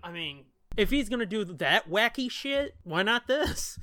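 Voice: medium pitch, animated, measured pace, pushed and bright, no room sound, studio quality. A podcast co-host chatting casually in blunt, slangy, profanity-heavy talk. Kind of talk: casual chat.

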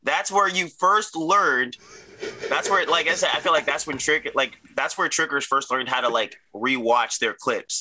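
A man's voice: fairly high pitch, noisy background. A man chatting casually as host, agreeable and rambling a little. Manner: agreeable, quick affirmations, rambling. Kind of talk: casual chat.

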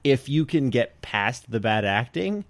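Animated man giving casual podcast opinions. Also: mid-range voice, unhurried, dry recording. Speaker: podcast co-host. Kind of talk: casual group chat.

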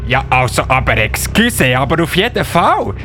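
A man playing an eager, bumbling little Christmas elf policeman in a children's radio play, with a Swiss German accent and halting, apologetic delivery. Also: medium pitch, soft background music. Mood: explaining, flustered. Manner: halting, excitable, often interjecting excuses.

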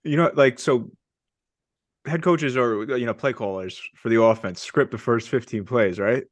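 A young man hosting a casual sports podcast, talking eagerly and telling stories with excitement. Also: medium pitch, moderate pace, dry recording. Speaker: podcast host.

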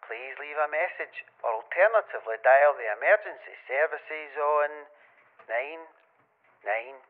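An actor playing a formal recorded police answering message, heard through a telephone filter. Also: male voice, fairly high pitch, animated, a little slow. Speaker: answering machine announcer. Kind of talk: recorded phone announcement. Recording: tinny narrow phone line, faint noise.

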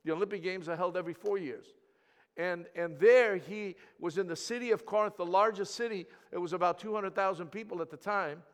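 Greek-accented preacher in an echoing hall. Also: male voice, sits high, a little fast. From Greece. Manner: impassioned, swings from quiet to loud emphasis; repeats words and restarts. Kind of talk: sermon.

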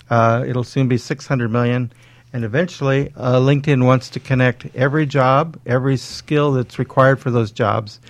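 A man's voice: mid-range voice, no room sound. A man explaining things conversationally as an expert guest in an interview. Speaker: guest, LinkedIn coach.

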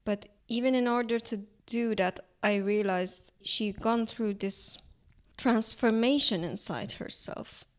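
A young adult woman from Iran, Turkish her first language, speaking English with an Iranian accent in a thoughtful, halting way as an artist in an interview. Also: a little high. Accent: Iranian, speaking English as a later language. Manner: thoughtful, halting, searching for words. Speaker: artist guest. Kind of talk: reflective interview conversation.

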